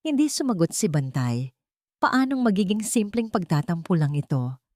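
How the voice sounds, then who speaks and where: A woman with a lowish voice narrates a scripted drama. Her phrasing is measured and dramatic, with deliberate pauses. The sound is close and dry, without room echo. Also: big pitch swings, darkish tone. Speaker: storyteller, narrator.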